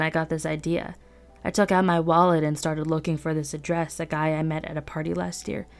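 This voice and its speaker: A woman reads a novel aloud in first person, in a relaxed, chatty, wry manner as the host of her own podcast. Her voice sits on the lower side. A quiet music bed runs faintly underneath. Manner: conversational, wry storytelling read. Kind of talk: first-person audiobook narration.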